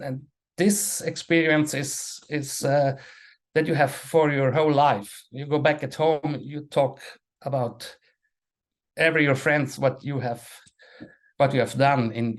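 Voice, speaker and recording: A man, a panel guest speaking English as an in a halting way, restarting and repeating words. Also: a little high. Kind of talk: casual webinar talk.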